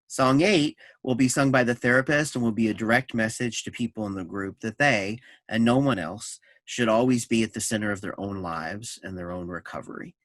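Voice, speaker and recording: A man's voice: fairly low pitch, fairly bright tone, no echo. A man presenting a staged reading, reading notes aloud and moderating the discussion.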